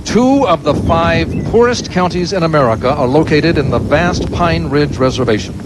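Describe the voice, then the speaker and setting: A man reporting from the field as a news correspondent in a steady, clear reporting voice. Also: a little high, moderate pace, heavy background noise.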